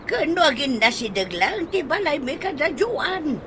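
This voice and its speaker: A woman playing a hot-tempered, sharp-tongued nagging wife in an Ilocano comedy radio drama. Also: high-pitched, very animated, measured pace, background noise. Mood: muttering.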